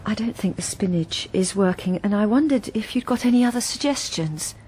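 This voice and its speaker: An actress playing a troubled middle-aged British wife who speaks hesitantly and confidingly in a radio drama. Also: female voice, medium pitch, animated, fairly quick, darkish tone, slight background noise, close to an everyday voice. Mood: anxious. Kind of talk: intimate first-person radio drama narration and dialogue.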